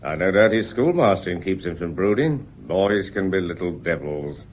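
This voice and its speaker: A man playing a polite but persistent visitor in a period radio drama, husky, courteous and folksy. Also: deep voice, lively intonation, normal speed, dull audio. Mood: affable, sympathetic. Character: polite, persistent male caller, affable but guarded.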